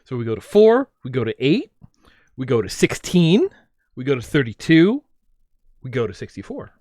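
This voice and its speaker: A man hosting a casual livestream, talking and explaining things in a rambling, animated way. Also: medium pitch, normal speed, dry recording, clean studio sound. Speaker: livestream host. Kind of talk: casual livestream chat with his mother.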